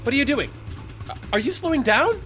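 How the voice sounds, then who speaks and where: A man's voice: very high-pitched, expressive, fairly quick, a bit bright, faint music behind. An actor playing a nervy but resourceful young man in an audio drama, speaking in an eager, blurting, casual way. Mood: panicked, urgent.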